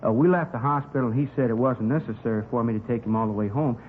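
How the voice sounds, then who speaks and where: A man's voice: medium pitch, quick pace, natural voice. A man with an American accent playing a folksy, talkative working-man witness in a 1950s radio drama, rambling earnestly in colloquial speech. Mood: earnest recounting. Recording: tinny, hissy 1950s radio recording.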